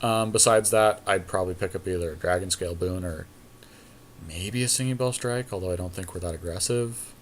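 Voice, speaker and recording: A man's voice: sits low, brisk, a little hiss or hum. A man co-hosting a podcast, chatting casually and thinking aloud as he weighs options during a card game draft. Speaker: podcast co-host.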